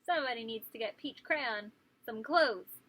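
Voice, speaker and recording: High, bright woman reading to children. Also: normal speed, a little hiss or hum. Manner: animated, questioning, addressing young children. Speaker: pre-kindergarten teacher. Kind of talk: children's story time read-aloud.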